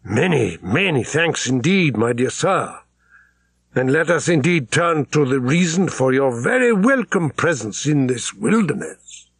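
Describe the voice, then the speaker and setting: A man's voice: on the high side, big pitch swings, unhurried, vocal fry, slightly rough, dry recording, studio quality, pitched above the performer's own voice, exaggerated voice. A man voicing a dignified middle-aged vizier, formal, courtly and gracious, in an audiobook. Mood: delighted.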